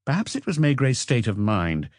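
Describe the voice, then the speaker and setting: A man's voice: mid-range voice, very animated, normal speed, no room sound, studio quality. A man reading scripted narration for a detective audiobook.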